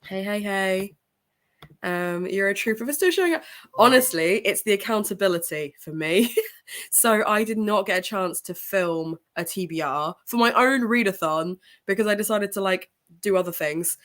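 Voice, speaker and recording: A woman's voice: mid-range voice, moderate pace, a bit bright. Congested woman chatting on a livestream. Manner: chatty, greets viewers by name, laughs often. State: congested with a sore throat. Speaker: book channel host. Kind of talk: casual livestream chat.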